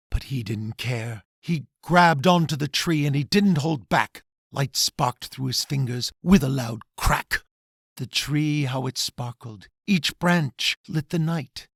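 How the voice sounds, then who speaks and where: A man reading his own rhyming children's storybook in a dramatic, expressive way. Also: mid-range voice, vocal fry, slightly rough, no room sound, clean studio sound.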